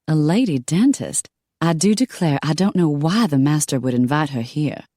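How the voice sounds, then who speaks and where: A woman's voice: low-pitched, expressive, moderate pace, warm tone, dry recording, studio quality. A woman voicing a disdainful Victorian southern belle with a Southern US accent. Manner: prim, disdainful.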